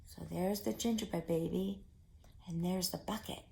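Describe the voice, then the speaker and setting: A woman reading a picture book aloud for preschoolers, expressive and speaking directly to her young listeners. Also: fairly low pitch, moderate pace, vocal fry, a little hiss or hum. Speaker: children's story reader.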